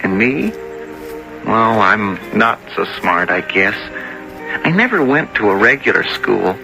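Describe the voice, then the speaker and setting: A simple, earnest teenage boy tells his story on old-time radio drama. His speech is slow and halting, with a lively rise and fall full of naive wonder, and music sits clearly behind him. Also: lowish voice, full character voice, low-quality recording. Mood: plain, earnest. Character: simple, earnest, dreamy teenage boy who talks to rocks. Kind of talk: 1970s radio drama acting, first-person storytelling.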